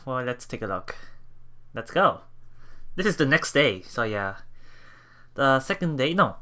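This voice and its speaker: A man hosting a let's play, reading game text aloud and commenting casually in a halting, think-aloud way. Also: medium pitch, bright, forceful tone, clear, resonant tone. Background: game music.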